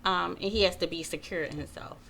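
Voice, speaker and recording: A woman's voice: lowish voice, brisk, creaky, fairly bright tone, slight background noise. A woman in her early forties from Baltimore, speaking casually as a panel guest. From Baltimore, United States. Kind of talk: casual spoken self-introduction and opinion.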